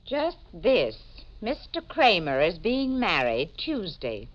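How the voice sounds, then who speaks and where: An actress playing a large, brusque, suspicious housekeeper with a curt, indignant manner in 1940s radio mystery style. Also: female voice, lowish voice, wildly swooping pitch, takes it slowly, exaggerated voice. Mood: suspicious, firm. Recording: muffled old 1940s radio recording.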